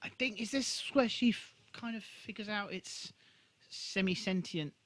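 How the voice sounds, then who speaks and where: British man, fairly high bright voice, swooping intonation, chatty podcast co-host. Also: a little slow, no room sound. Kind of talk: casual TV rewatch chat.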